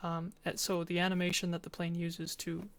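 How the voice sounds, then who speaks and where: Flat-toned woman giving a project presentation. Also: fairly low pitch, moderate pace. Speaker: student presenter. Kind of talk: informal presentation with game demo narration.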